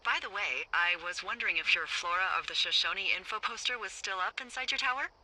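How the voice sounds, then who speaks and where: An actress playing a wry, breezy American fire lookout speaking over a two-way radio in a video game. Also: female voice, medium pitch, fairly quick, sharp tone, rough recording. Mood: chipper. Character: wry, chipper, foul-mouthed adult woman fire lookout. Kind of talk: naturalistic video game dialogue.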